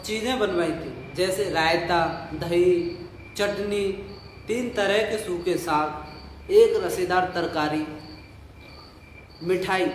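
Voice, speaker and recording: An actor playing an old, poor village father in an audio play, nostalgic and boastful, savouring his drawn-out memories and grumbling. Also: high-pitched. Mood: wistful, savouring. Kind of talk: Hindi audio play dialogue. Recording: roomy echo, faint noise, night crickets.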